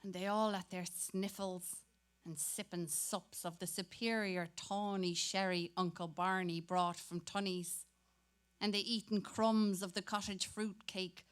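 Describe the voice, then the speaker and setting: A woman's voice: lowish voice, steady tone, measured pace, a bit bright, clear, resonant tone. An Irish woman playing a grumbling, daydreaming Dublin schoolboy in a dramatic reading, talking in slangy, colloquial monologue. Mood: bored grumbling.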